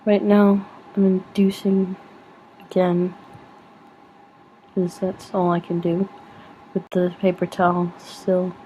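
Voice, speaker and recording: A woman's voice: on the low side, little pitch movement, takes it slowly, pauses often, mellow, slight background noise, low-quality recording. A young woman vlogging at home, rambling casually with a giggle, sniffly from sneezing. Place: at home in front of a screen.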